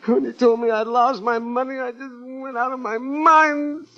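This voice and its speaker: An actress playing a desperate, cornered murderess in a 1950s radio crime drama, halting and pleading. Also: female voice, sits high, steady tone, a bit bright. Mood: tearful despair. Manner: halting, pleading, breathless repetition. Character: desperate cornered murderess breaking down in tearful confession. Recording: dull vintage 1950 radio recording.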